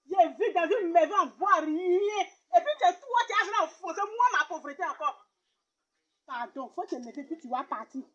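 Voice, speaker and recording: A scornful, fiery poor young woman, played by a woman with a very high voice. Her speech often breaks between sobs and laughter. The recording sounds dull and muffled. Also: animated, normal speed, pushed and bright, full character voice.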